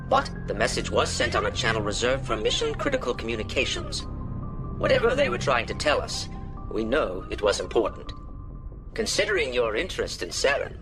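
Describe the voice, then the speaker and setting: A man playing a formal alien councillor in a video game, giving orders with measured authority. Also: lowish voice. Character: formal male alien councillor briefing an agent. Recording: music behind the voice.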